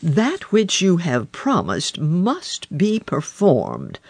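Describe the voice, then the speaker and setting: A woman's voice: on the low side, wildly swooping pitch, drawn-out words, darkish tone, breathy edge, dry recording, full character voice. A woman voicing a stern older king and father in a storybook, speaking in a commanding way. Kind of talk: storybook reading.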